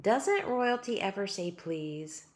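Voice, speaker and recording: A prim, bossy little girl with a high, scolding voice that leaps up and down, as a woman puts her on. She sounds proper and matter-of-fact. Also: lively intonation, slow pace.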